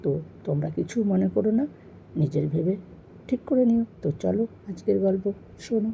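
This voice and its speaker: Lady host, really dark crackly voice, chatting affectionately to viewers, bit of background noise. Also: mid-range voice, lively intonation, a little fast, vocal fry. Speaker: channel host. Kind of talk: casual chatty intro to viewers.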